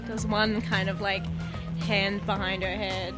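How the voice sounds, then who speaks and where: A woman's voice: medium pitch, little pitch movement, measured pace. Woman playing tabletop, music behind. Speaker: tabletop game player. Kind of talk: casual roleplay and describing actions.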